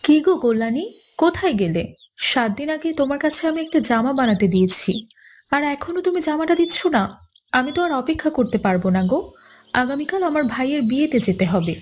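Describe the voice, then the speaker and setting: A woman's voice: high voice, sing-song, fast, slight background noise. A woman playing an impatient, unyielding village customer in a cartoon. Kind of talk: animated cartoon voice acting.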